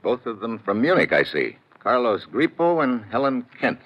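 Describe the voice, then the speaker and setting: A man's voice: medium pitch, sing-song, moderate pace. A man with an American accent playing a wry, laconic hardboiled investigator who narrates his case in an old-time radio drama. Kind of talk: 1950s-style radio noir acting and narration. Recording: muffled vintage radio recording with music.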